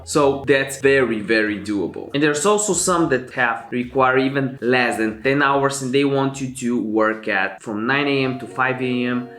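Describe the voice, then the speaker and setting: A man's voice: mid-range voice, a bit bright, reverberant. A young man from Bulgaria with a Bulgarian accent, hosting a chatty, upbeat screen-share tutorial on his own channel. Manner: upbeat, chatty, self-promoting. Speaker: YouTube host.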